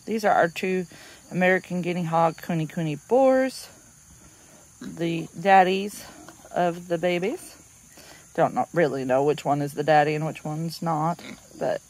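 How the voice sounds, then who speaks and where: A woman's voice: fairly low pitch, darkish tone. A woman on a homestead chatting casually as she films her animals outdoors, laughing often and talking sweetly to them. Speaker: homestead vlogger. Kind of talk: casual narration while doing farm chores. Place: outdoor farm pens and pasture.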